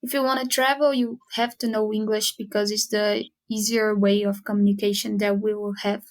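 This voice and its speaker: A woman from Brazil, a student guest on a podcast, speaking English with a Brazilian accent and pausing to find her words. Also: medium pitch, level tone. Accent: Brazilian Portuguese. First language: Portuguese. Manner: searching for words, halting. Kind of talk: casual podcast chat.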